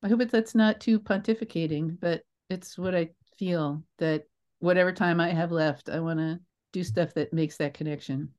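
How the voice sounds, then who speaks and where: An older woman speaking as an interview guest, telling anecdotes in an expressive, emphatic, rambling way with asides. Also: lowish voice, moderate pace. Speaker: guest, theatre creator. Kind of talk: animated anecdotal storytelling in an interview.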